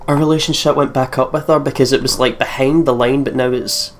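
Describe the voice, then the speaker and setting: A Scottish man with a medium-pitched voice co-hosts a let's play. He comments casually on the game, with faint noise in the background. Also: steady tone, fairly quick.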